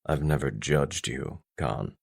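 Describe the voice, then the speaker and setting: A man voicing a stern, intimidating middle-aged father in an audiobook, speaking in a grave, restrained, deliberate way with a gruff love underneath. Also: full character voice. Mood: regretful, grave. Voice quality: deep, low, weighty voice.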